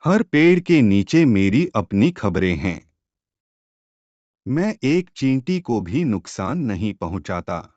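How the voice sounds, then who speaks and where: A man's voice: fairly low pitch, big pitch swings, slow, a little mellow, dry recording, dull audio. A man voicing a sly, self-satisfied fox in a cartoon fable, explaining things in a self-righteous way. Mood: pleading, self-excusing.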